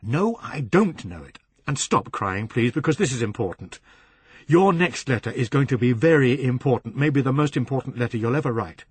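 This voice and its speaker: A man's voice: medium pitch, lively intonation, moderate pace, breathy edge, no room sound. A man voicing a bitter, rash jilted man who snaps in a raised voice in a storybook reading. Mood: insistent. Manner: snapping, raised voice.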